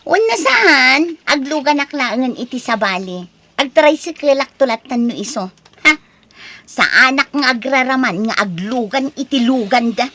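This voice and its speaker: Lady doing a jealous snooty village wife, ilocano accent, radio drama style, high voice that swings all over. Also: expressive, moderate pace, pushed and bright, exaggerated voice. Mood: indignant. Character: envious, proud married village woman, scornful gossip. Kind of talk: Ilocano audio drama acting.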